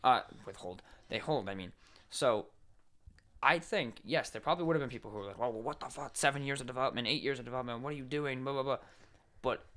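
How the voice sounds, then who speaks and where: A man's voice: medium pitch, fast talker, a bit bright. Guy podcast host just chatting, sweary, laying out his takes. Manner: informal, sweary, explanatory. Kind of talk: casual opinionated podcast banter.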